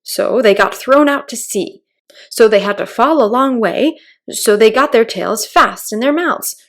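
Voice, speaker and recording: A woman voicing an excitable, bossy male gryphon from a storybook in a loud, emphatic way. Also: fairly high pitch, a little slow, voice pushed up. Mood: solemn, emphatic.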